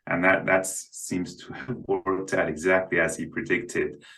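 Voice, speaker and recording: A man's voice: sits low, level tone, measured pace, bright, forceful tone, poor audio. A man speaking as a guest analyst in unscripted market commentary, hesitant and often repeating his words.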